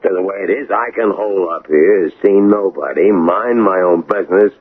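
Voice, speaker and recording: An actor playing a domineering American smuggler in a 1980s radio drama, with a gravelly, husky voice and curt, slangy, bossy delivery. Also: male voice, low-pitched, normal speed. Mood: confident, dismissive. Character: tough, domineering male smuggler on the run. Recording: narrow tinny old radio recording.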